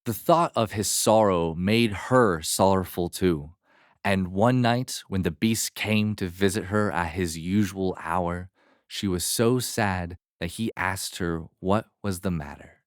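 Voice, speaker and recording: A man narrating a fairy tale as a storyteller, in a measured, expressive manner. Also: low-pitched, no echo, studio quality.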